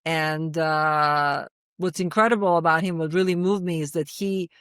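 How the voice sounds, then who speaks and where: A woman's voice: deep voice, normal speed, fairly bright tone, clean tone, no room sound, studio quality. A woman from Serbia with a Serbian accent, a filmmaker being interviewed. She often hesitates, restarts and repeats words. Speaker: filmmaker guest.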